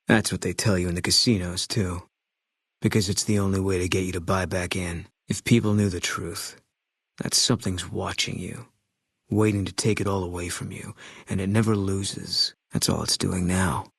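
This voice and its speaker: A haunted former soldier turned sniper in a video game, a man with a heavy past. The voice sits low and carries a husky grain, with a dry, close sound. Every line comes out terse, clipped and grim. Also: fairly bright tone, dry recording, full character voice. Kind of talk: video game character dialogue.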